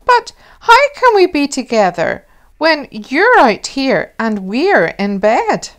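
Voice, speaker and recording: A woman's voice: sits high, very animated, takes it slowly, a bit bright, pitched above the performer's own voice, exaggerated voice. A woman voicing an inquisitive, worried little girl in a children's storybook, with emphatic, childlike questioning.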